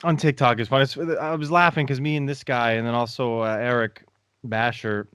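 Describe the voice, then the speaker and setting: A man's voice: medium pitch, fairly even intonation, moderate pace, a bit bright, clear, resonant tone, no room sound, studio quality. A man hosting a podcast, chatting casually and joking, swearing freely. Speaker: podcast host. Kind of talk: casual profane chat.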